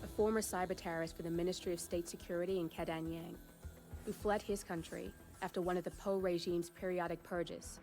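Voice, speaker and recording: An actress voicing a composed female handler who briefs the player in a measured, businesslike way. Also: on the low side, close to an everyday voice. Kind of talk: game cutscene briefing. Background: cutscene music.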